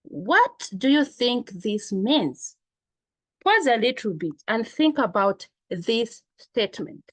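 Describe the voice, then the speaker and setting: A woman's voice: fairly high pitch, very animated, takes it slowly, a bit bright, dry recording. A woman from Zambia facilitating an online training session, engaging the group with questions. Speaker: workshop facilitator. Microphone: video call.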